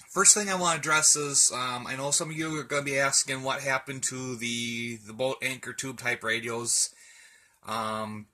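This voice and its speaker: A man's voice: medium pitch, bright, forceful tone. A man chatting casually in a rambling, hesitant, folksy way as he shows off a hobby collection at home. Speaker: radio hobbyist vlogger. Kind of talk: casual rambling show-and-tell chat. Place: indoors at home, room with radio shelves.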